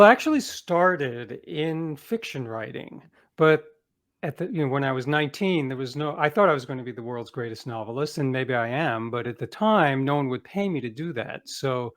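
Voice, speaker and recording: A middle-aged man speaking as an interview guest, telling anecdotes conversationally with self-deprecating asides. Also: mid-range voice. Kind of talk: casual anecdotal storytelling.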